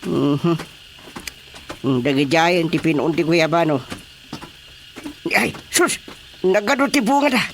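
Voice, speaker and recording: An actor playing an excitable, grumbling Filipino father with an Ilocano accent in a comedic radio drama, exclaiming and groaning. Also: a little high, slow pace, vocal fry, long pauses, a little hiss or hum. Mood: eager.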